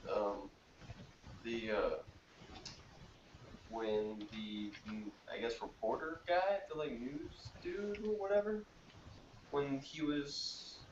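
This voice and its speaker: A man of middling pitch talks casually and haltingly, with slangy phrasing. He sounds roomy and off the mic, through dull audio with faint noise. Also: fairly even intonation, recorded from a distance. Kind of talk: casual, informal chat.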